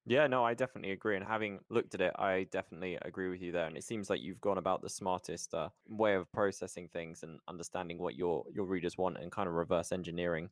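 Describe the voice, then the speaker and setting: A man's voice: low voice, fairly even intonation, fast talker, hardly any pauses, dry recording, studio quality. A man hosting a podcast, asking questions in a conversational, agreeable and affirming way. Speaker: podcast host.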